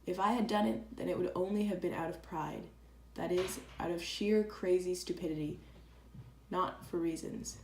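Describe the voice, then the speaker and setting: Woman acting an earnest, low-voiced letter writer. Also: normal speed, a little mellow, natural voice. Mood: earnest, proud. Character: earnest, proud, candid woman writing an intimate letter. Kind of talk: dramatized letter reading. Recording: echoey room with clear background noise.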